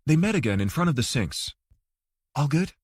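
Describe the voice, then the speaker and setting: A man's voice: medium pitch, big pitch swings, quick pace, husky, no echo, studio quality. A man reading a thriller novel aloud as an audiobook narrator. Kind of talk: scripted fiction narration.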